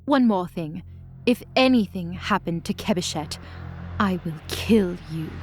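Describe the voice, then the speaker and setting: A woman's voice: lowish voice, animated, pitched below the performer's own voice. Woman acting a menacing, vengeful goddess over music. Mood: cold threat. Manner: dramatic, threatening, deliberate. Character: cold, vengeful, menacing goddess, bitterly accusing.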